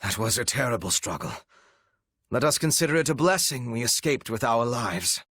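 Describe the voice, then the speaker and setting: An actor playing a cold, menacing and devoted retainer and strategist in a video game, with formal, archaic, theatrical diction and a raspy voice. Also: male voice, medium pitch, very animated, normal speed, fairly bright tone, no echo, studio quality. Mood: solemn, humbled.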